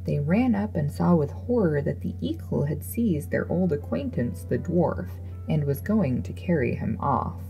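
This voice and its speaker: A woman's voice: low-pitched, lively intonation, unhurried, creaky, few pauses, muffled recording, soft background music. A woman reading a classic fairy tale aloud as a scripted narration. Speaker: storyteller. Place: voice-over with music behind.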